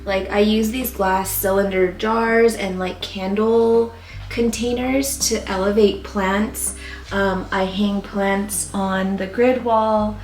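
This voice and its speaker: A tired young woman with a voice going hoarse talks casually through her plant setup, rambling and filling gaps with likes and ums. Her mid-pitched voice rings a little in a roomy space. She sounds worn out. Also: steady tone, slow pace, a little mellow. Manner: chatty, rambling with fillers. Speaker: plant YouTuber. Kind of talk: casual vlog talk. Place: warm home plant room. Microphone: camera mic with ring light.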